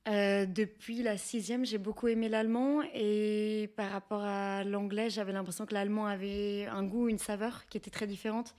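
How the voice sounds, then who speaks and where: A woman's voice: mid-range voice, flat delivery, normal speed, hardly any pauses, a little mellow. Interview guest: young woman, French accent, mid-range voice, reflective and explanatory, slightly hesitant. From France. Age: young adult. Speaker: guest, researcher, teacher and actress. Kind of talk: conversational interview answers.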